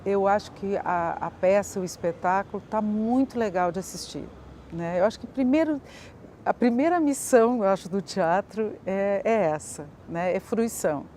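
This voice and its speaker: Mellow woman thinking aloud. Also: medium pitch, moderate pace, slight background noise. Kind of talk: informal interview talk.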